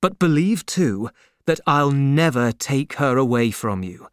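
Brief: earnest young gentleman, read by a man, slow and sincere, lively intonation. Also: medium pitch, smooth, dry recording, studio quality, natural voice. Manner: earnest, measured.

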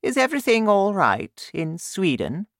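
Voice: medium pitch, wildly swooping pitch, unhurried, dry recording, clean studio sound, pitched above the performer's own voice. A reader voicing a commanding, polite grown woman, a Queen in a storybook. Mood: commanding, concerned.

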